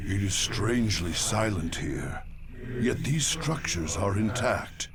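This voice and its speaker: An actor playing a solemn, mysterious alien warrior who narrates in a measured, grave way. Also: male voice, lowish voice, very animated, background noise, exaggerated voice. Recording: loud game sound effects behind.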